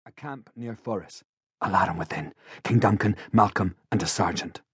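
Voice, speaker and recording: A man's voice: on the low side, moderate pace, fairly bright tone, husky, dry recording. Man reading stage directions, dry sound. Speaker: narrator. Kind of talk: scripted audiobook stage directions.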